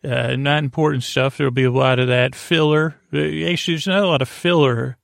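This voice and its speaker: Creaky-voiced man rambling at bedtime. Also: mid-range voice, steady tone, dry recording, clean studio sound. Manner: meandering, halting, digressive. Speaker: podcast host. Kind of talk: rambling bedtime monologue to lull listeners asleep.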